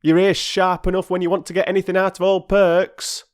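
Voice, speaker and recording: A man's voice: sits high, little pitch movement, brisk, voice pushed up, natural voice. Man doing a huffy, lofty old railway porter. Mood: indignant. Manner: lofty, sulky, clipped dialect speech. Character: huffy working-class male railway porter.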